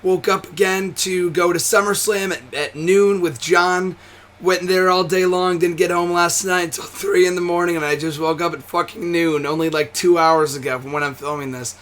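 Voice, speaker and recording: A hoarse, tired young man hosting a wrestling show, chatting casually to camera with a nearly gone voice, rambling, swearing and restarting often. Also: sits high, fairly even intonation, normal speed, fairly bright tone. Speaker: wrestling commentary host. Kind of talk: casual to-camera vlog chat.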